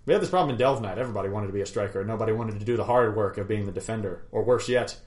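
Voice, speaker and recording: A man's voice: fairly low pitch, very fast, a little mellow. A young man casually telling stories about a past tabletop game, with lots of fillers and chuckles. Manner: rambling, chuckling, many fillers. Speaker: podcast co-host. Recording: roomy, echoey room.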